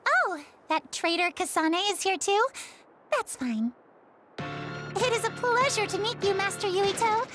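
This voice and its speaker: A woman's voice: very high-pitched, lively intonation, a little slow, a bit bright, soft background music, exaggerated voice. A woman playing a sugary, devoted young officer in a video game dub, gushing and girlish but pointedly snide to others. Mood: adoring, bubbly. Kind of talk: anime game dub acting.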